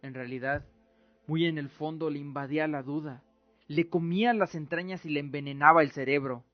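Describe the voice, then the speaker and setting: A man's voice: on the high side, fairly even intonation, moderate pace. A man giving dramatic scripted narration as the narrator of an audio drama. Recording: dull lo-fi recording with music. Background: music.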